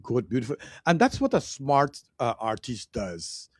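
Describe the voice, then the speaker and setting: A man's voice: mid-range voice, wildly swooping pitch, unhurried, slightly rough, no echo. Guy hosting a call-in chat, upbeat and encouraging, dry sound. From Guyana. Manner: upbeat, encouraging, repeats himself. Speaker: show host. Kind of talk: casual interview over a video call.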